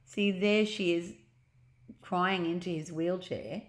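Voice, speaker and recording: A woman's voice: on the low side, steady tone, takes it slowly. Echoey woman reading a children's storybook. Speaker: storybook reader. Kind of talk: children's read-aloud narration with asides about the pictures. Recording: echoey, roomy home recording.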